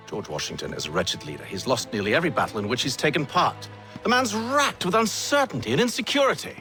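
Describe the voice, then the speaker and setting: A man's voice: mid-range voice, sharp tone. An actor playing a haughty, sardonic middle-aged father and villain in a video game, with a condescending, emphatic delivery. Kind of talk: dramatic video game acting.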